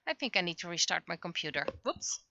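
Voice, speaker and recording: A woman livestreamer chatting casually and haltingly, muttering to herself as she thinks aloud, on dull lo-fi audio. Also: fairly low pitch, big pitch swings, vocal fry, bright, forceful tone, no room sound. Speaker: livestreamer, webcomic artist.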